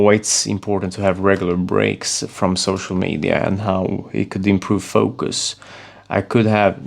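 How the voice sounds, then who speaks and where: A Swedish man in his thirties speaking English as a second language, coaching viewers to camera in an earnest, halting way with frequent restarts. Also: lowish voice, creaky. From Sweden. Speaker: self-improvement vlogger.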